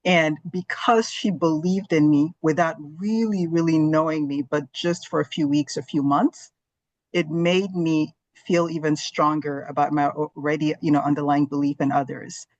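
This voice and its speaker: A woman's voice: deep voice, steady tone, moderate pace, no room sound, muffled recording. A woman in her forties from Zambia, an earnest and reflective interview guest who talks with many fillers. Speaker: guest, gastroenterologist. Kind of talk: casual interview talk.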